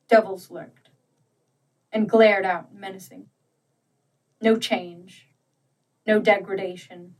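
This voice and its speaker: A woman narrating a literary story as an audiobook, with measured, deliberate and dramatic phrasing. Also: on the high side, a little mellow, recorded from a distance, poor audio.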